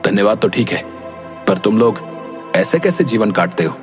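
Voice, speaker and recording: A man's voice: lowish voice, big pitch swings, moderate pace. Man playing an earnest young prince, music behind. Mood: concerned, generous. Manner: earnest, remorseful, dramatic. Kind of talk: Hindi animated moral story voice acting.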